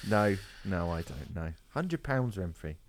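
A man's voice: low-pitched, very animated, unhurried, noisy background. A man hosting a casual music podcast, rambling and swearing, his voice a bit off after karaoke. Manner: swearing, rambling, laughs often. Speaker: podcast host.

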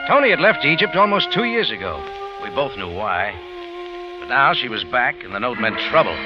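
A man's voice: mid-range voice, sing-song, moderate pace, natural voice. An actor playing a tough, wry American private eye who narrates his case in clipped 1940s radio noir style. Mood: brooding, tense. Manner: clipped, hard-boiled delivery. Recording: dull old radio recording with loud music.

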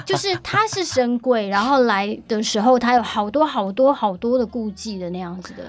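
A woman's voice: on the high side, animated, moderate pace, no echo. A young woman, hosting a podcast interview in an eager, giggly way. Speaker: podcast host. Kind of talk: casual interview chat.

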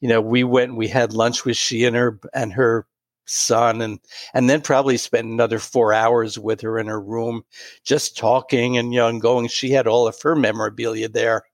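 A man in his late sixties telling a personal story in an interview, pausing and restarting often. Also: medium pitch, flat delivery, no room sound. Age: 60s. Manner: warm, halting anecdotal storytelling with many restarts. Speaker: guest.

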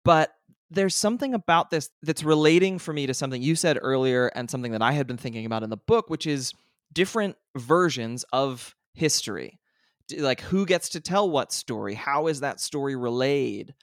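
A man's voice: mid-range voice, expressive, normal speed, no echo. Eager male host, dry close sound. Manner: enthusiastic, rambling questions with self-corrections. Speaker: podcast host. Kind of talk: casual interview questions.

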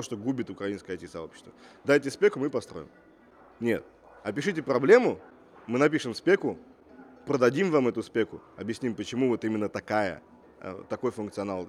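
A man's voice: mid-range voice, a little hiss or hum. A man who grew up in Russia, speaking as a guest entrepreneur in an interview, assertive and fond of rhetorical questions. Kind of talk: casual interview talk.

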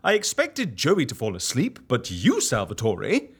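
Theatrical, sarcastic agency boss, wildly swooping delivery. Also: male voice, medium pitch, wildly swooping pitch, a little slow, breathy edge, exaggerated voice. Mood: exasperated, sarcastic. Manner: theatrical, sarcastic, repeats words for effect. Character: excitable, sarcastic, theatrical head of a death-faking agency. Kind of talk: comedy audio drama acting.

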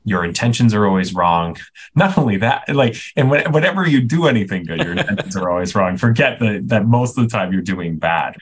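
Soft-pitched man, halting and searching. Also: animated, husky. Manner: halting, searching for words, stammered restarts. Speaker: podcast co-host. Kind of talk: casual discussion podcast.

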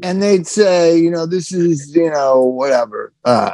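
A man's voice: fairly high pitch, steady tone, a little slow, warm tone, no echo. A man in his sixties, a podcast host telling rambling, profane stories in casual chat. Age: 60s.

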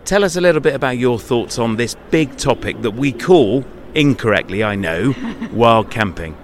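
A man's voice: medium pitch, animated, moderate pace. A man hosting a podcast interview at an exhibition show, conversational and encouraging, with short affirmations. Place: busy exhibition show floor.

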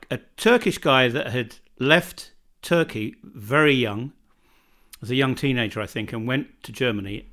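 A man from Britain chatting casually as a podcast co-host. He rambles and often restarts his sentences, and his voice sits at a middling pitch for a man. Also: a bit bright. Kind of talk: casual chat. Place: home in northern Bosnia.

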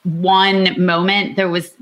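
A woman's voice: fairly low pitch, level tone, slow, a crowd in the background. A woman author talking casually about her novel at a book launch, chatty and explanatory.

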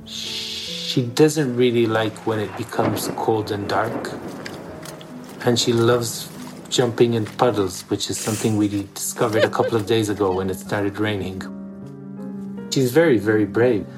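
A man's voice: on the low side, steady tone, unhurried, music in the background, poor audio. A man from East Jerusalem speaking as an interview guest in an earnest, reflective way, often repeating words for emphasis. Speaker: interview guest, theater director.

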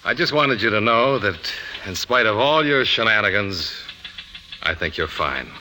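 A man's voice: fairly low pitch, animated, normal speed, pushed and bright, slight background noise, poor audio. An actor playing a cocky, plain-spoken magazine man in a 1950s radio drama. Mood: gruff, candid. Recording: vintage 1950s radio broadcast.

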